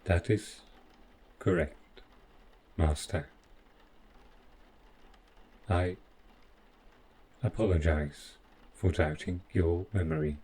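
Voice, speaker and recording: A man playing an overprotective, stiffly polite robot butler in an audio roleplay, speaking in formal, deliberate phrases. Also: deep voice, fairly even intonation, mellow, slightly rough, heavily acted. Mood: evasive, insistent. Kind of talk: scripted audio roleplay addressed to the listener.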